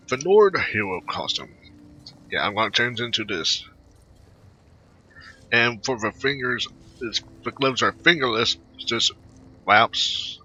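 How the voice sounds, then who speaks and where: A man giving casual, rambling gameplay commentary as a video host, speaking in halting phrases. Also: mid-range voice, sharp tone. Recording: muffled home mic with faint noise.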